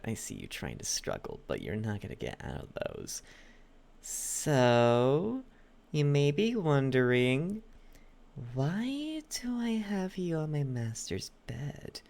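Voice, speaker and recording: Performer as yandere demon butler, fry-laden voice, unhurried, clingy, possessive, coaxing, halting, breathy whispers, intimate ASMR roleplay. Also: mid-range voice, darkish tone, exaggerated voice. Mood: coy, possessive.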